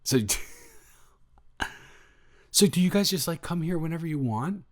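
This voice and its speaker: Gushing, excitable boyfriend played by a man. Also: on the high side, unhurried. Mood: awed, tender. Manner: gushing, rambling, stammering, playful. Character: excited, affectionate, golden retriever boyfriend on vacation. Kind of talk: improvised boyfriend roleplay audio.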